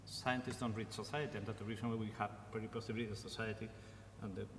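Male panelist, flat reflective delivery; echoey conference hall. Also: fairly low pitch, fast talker. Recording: echoey hall, faint room noise.

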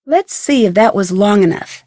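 A woman playing a curious, determined young detective in a video game, thinking aloud in crisp speech with an American accent. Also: mid-range voice, expressive, moderate pace, dark tone, no echo, clean studio sound, lightly acted. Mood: curious, focused.

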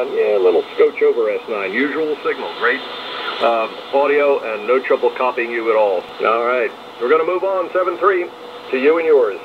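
A man chatting casually as he runs amateur radio check-ins, heard over a two-way radio. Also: medium pitch. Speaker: radio net operator. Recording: phone-like radio speaker with clear static noise.

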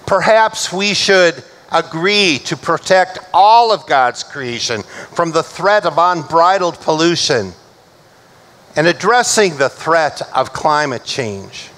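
A middle-aged male pastor delivering a measured, emphatic sermon from a church pulpit. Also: high-pitched, sharp tone, low-quality recording. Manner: measured, emphatic preaching, slowing for recited scripture.

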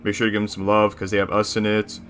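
A man's voice: on the low side, completely flat delivery, quick pace, fairly bright tone, soft background music, natural voice. A man voicing a crude, bossy animatronic bear boss who gives casual reaction commentary. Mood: bossy, eager.

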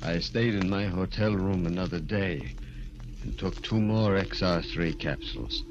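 An actor playing a desperate former dwarf turned killer in an intense 1940s radio thriller. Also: male voice, low voice, unhurried, muffled recording, over loud music. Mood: haunted narration. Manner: intense, dramatic. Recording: old 1940s radio recording, faint noise.

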